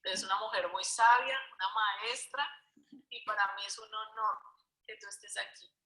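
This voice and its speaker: A woman's voice: mid-range voice, normal speed, pushed and bright. A woman hosting, giving an affectionate, earnest spoken introduction that honours her guest. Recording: echoey room sound.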